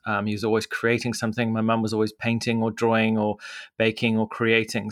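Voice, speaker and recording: Zimbabwean man in his forties, lowish voice, dry close sound, easygoing podcast guest who rambles. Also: a bit bright, no room sound. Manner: reflective, rambling with many fillers and restarts. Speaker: podcast guest, media co-founder. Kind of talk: casual conversational interview.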